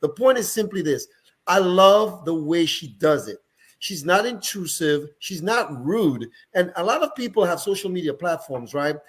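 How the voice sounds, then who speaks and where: A man from Dominica with a Dominican accent, a guest on a radio panel, speaking in a lively, emphatic way. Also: high-pitched, normal speed, breathy edge.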